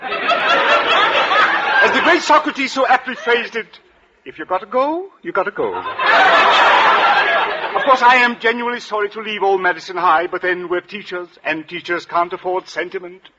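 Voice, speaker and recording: Loud hiss and crackle surround a high-pitched man's voice on a muffled old radio recording. a departing school principal, pompous and theatrical, grandly declaiming in 1940s radio comedy style. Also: big pitch swings, moderate pace, long pauses. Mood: mock-solemn.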